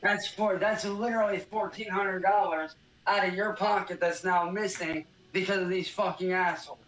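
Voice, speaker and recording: High-voiced angry man in an echoey room. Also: steady tone, normal speed, fairly bright tone. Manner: angry, profane venting. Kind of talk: casual venting in a video.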